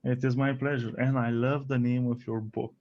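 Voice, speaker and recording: A man's voice: mid-range voice, flat delivery, normal speed, darkish tone. Man hosting a podcast interview. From Romania. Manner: friendly, laughs often, fillers. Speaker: podcast host. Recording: slightly dull lo-fi audio.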